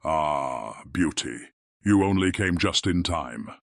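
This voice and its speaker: An actor playing a gentle, weakened, lovelorn enchanted beast in a fairy tale audiobook, speaking faintly. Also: male voice, rumbling low, lively intonation, takes it slowly, gravelly, no room sound, studio quality, heavily acted. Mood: faint, tender.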